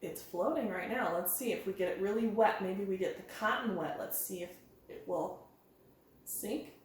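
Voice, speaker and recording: A woman's voice: medium pitch, moderate pace. A woman leading an interactive children's storytime, speaking in a sing-song, encouraging way and putting questions to young listeners. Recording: very echoey, roomy sound.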